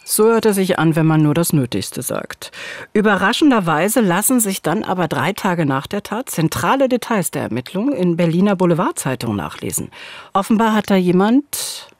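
Measured woman narrating true crime, dry studio sound. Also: fairly low pitch, big pitch swings, no room sound. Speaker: podcast co-host. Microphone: studio microphone on a boom. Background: music.

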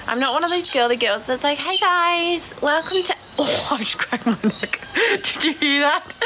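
A blunt Australian woman in her twenties with a naturally deep voice, chatting casually on a live stream from her phone and swearing freely. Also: animated, measured pace, heavy background noise. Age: 20s. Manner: blunt, swears a lot, laughs easily. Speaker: cattle farmer vlogger. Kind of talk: casual live stream chat answering comments. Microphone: phone at arm's length.

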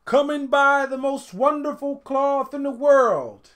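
Proud young street vendor acted by a male storyteller, high, loud, drawn-out hawking, storybook tale. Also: full character voice. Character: proud young market brothers calling out their wares.

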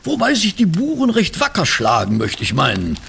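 A man playing a chatty, good-natured Victorian gentleman who fusses and mutters, in a German audio drama. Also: high-pitched, huge pitch swings, moderate pace, vocal fry, a little hiss or hum, heavily acted. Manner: fussy, muttering to himself while reading.

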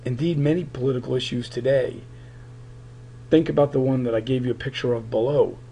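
A male political science teacher giving a recorded screen lecture, his voice raspy while it recovers, talking in a halting way with many fillers. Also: mid-range voice, fairly even intonation, mellow, slight background noise, rough recording.

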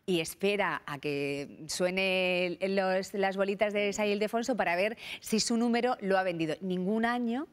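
Animated woman hosting a TV chat show. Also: medium pitch, moderate pace, few pauses, smooth. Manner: animated, gesturing, talks over guests. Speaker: TV talk show host. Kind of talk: chatty studio discussion. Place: bright TV studio set.

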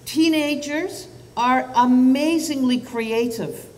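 Scottish woman, 60s, physician speaking at a lectern, animated, distant mic, echoing hall. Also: high-pitched, slow pace. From Scotland. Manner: animated, gestures with both hands, asks the audience questions. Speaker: physician giving a public talk on children's anxiety. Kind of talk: public lecture to parents. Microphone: lectern microphone. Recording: roomy hall sound from a distance.

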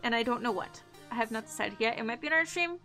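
A woman's voice: on the high side, expressive, quick pace, pushed and bright, soft background music. A young adult woman streamer wearing a headset, chatting playfully with her viewers as she signs off. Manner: chatty, playful, addresses chat as guys. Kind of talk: casual stream sign-off. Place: small room indoors.

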